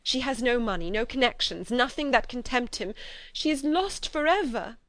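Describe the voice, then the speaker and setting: Woman doing upset young lady in an audiobook, high and strained, dry close sound. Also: brisk, no echo, close to an everyday voice. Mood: distraught. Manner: anguished, pleading, breaking with emotion. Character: young woman, distraught elder sister. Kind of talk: audiobook character voice.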